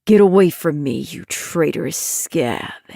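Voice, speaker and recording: A woman acts out a dead male nightmare spirit who speaks with cold, dry contempt in a lowered voice. The reading is unhurried and intimate, set against a dry studio sound. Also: vocal fry, a little mellow, breathy edge, no room sound, exaggerated voice. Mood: contemptuous. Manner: sneering, dry.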